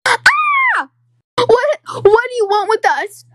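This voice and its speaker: An excitable young camper girl, played by a woman, very high and swooping. She sounds dramatic and easily scared, as in a toy-game roleplay. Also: slow pace, dry recording, shouting, full character voice. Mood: terrified. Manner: pleading, breathlessly dramatic.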